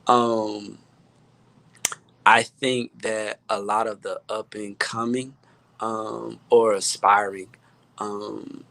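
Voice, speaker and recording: A man with an American accent talking casually as a guest music producer, halting and repeating words as he stresses his points. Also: on the low side, level tone, gravelly, fairly bright tone. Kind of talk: casual panel talk.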